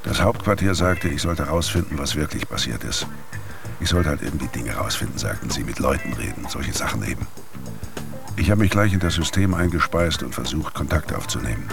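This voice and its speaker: An actor playing a laconic data detective from the future in a radio drama, with a gravelly voice and an offhand way of talking. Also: male voice, rumbling low, lively intonation, a little fast, heavily acted. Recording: music under the voice.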